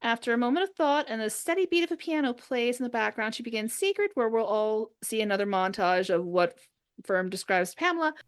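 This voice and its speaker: A woman's voice: fairly high pitch, animated, fairly quick, clean tone. A woman narrating scripted stage directions for an audio drama.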